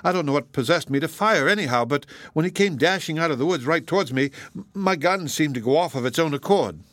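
A man's voice: fairly high pitch, expressive, fast talker, fairly bright tone, no echo, voice pushed up. A man voicing an anxious, impulsive young fellow who speaks in fretful exclamations in a storybook reading. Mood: relieved.

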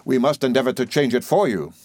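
A male narrator plays a stern Victorian stepfather from a storybook. He speaks formally and gravely, with measured, commanding weight, in a dry, close sound. Every phrase is cold and domineering. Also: medium pitch, animated, darkish tone, dry recording, pitched above the performer's own voice, lightly acted. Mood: cold, reproving. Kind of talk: audiobook character in a Victorian novel.

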